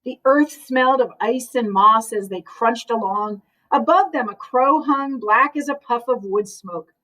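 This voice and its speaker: Children's storyteller: woman, high voice, casual with listeners, picked up from a little way off. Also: a little slow, bright, forceful tone, recorded from a distance, poor audio. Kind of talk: read-aloud storytelling for children.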